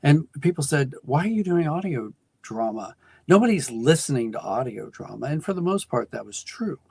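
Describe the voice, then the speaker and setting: A middle-aged American man giving thoughtful, explanatory answers as a guest in an interview, pausing and restarting as he goes. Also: mid-range voice, vocal fry, dry recording. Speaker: guest, audio drama writer and producer.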